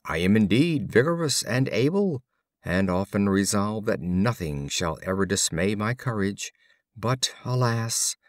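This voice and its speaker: A man voicing a formidable old stag who is candid about his fears, in a grandly formal, deliberate storybook manner. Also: lowish voice, expressive, no room sound, studio quality. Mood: rueful, earnest.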